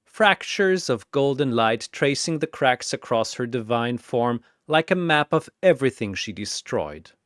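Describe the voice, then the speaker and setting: Slow, dramatic male narrator with resonant voice. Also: medium pitch, expressive, a bit bright, clean tone, no echo, studio quality. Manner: theatrical, deliberate. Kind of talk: dramatic scripted fantasy narration.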